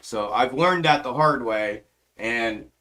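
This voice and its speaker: A man in mid-range pitch hosting a stock market live stream, talking casually into a desk mic at his computer. He is emphatic and profane, swears freely, and trails off while he works his charts. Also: slow pace, pushed and bright, rough recording. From grew up in western Pennsylvania, adult life in the South. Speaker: stock market livestream host.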